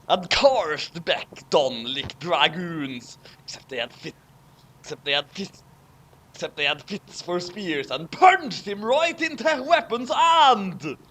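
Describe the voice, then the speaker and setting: High-pitched man, lively, with background noise. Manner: halting, repeating garbled phrases. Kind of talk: fanfic reading aloud.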